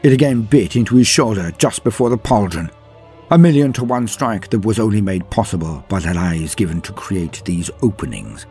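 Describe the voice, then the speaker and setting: A man narrating a scripted story in a dramatic, grave, measured manner with pauses for effect, his voice husky. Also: on the low side, expressive, warm tone. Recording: music behind the voice. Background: background music.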